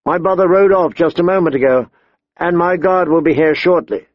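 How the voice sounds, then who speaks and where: Man playing a defiant noble boy, pitched up. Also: normal speed, no echo, highs cut off, close to an everyday voice. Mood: uneasy bluff. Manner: proud, defiant. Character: frightened but defiant young noble boy.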